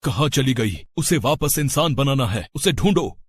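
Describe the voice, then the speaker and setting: A woman voicing an obliging adult male village magician with a husky voice in a children's story. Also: very deep voice, animated, normal speed, slight background noise, pitched below the performer's own voice. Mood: alarmed.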